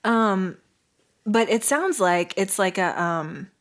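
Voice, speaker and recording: A woman of middle pitch co-hosts a relaxed podcast with her sibling. She reads messages aloud in a light, giggly way and keeps the chat moving. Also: slow pace. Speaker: podcast co-host. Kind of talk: casual sibling banter.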